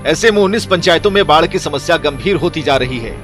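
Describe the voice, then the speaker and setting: A man's voice: fairly high pitch, expressive, quick pace, fairly bright tone, soft background music. A man delivering scripted news narration as a voice-over reporter, formal in manner.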